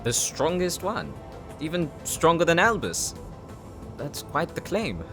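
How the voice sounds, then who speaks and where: A man's voice: on the high side, big pitch swings, takes it slowly, music in the background, exaggerated voice. Man acting as a serious, commanding mission leader. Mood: skeptical. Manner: earnest, commanding, tactical. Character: serious, dutiful male team leader on a combat mission. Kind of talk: audio roleplay acting.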